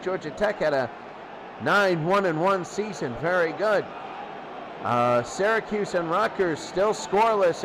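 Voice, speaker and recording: A man calling simulated football games as a play-by-play announcer, his voice jumping up and getting loud on big plays. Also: high voice, background noise. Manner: excitable, voice jumps up and gets loud on big plays. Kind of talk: simulated radio play-by-play commentary.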